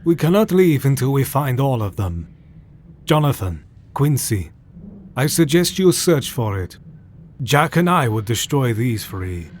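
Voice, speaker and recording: A man playing a learned old doctor who hunts vampires in an audio drama, solemn, formal and measured. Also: mid-range voice, big pitch swings, darkish tone, slight background noise. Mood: purposeful.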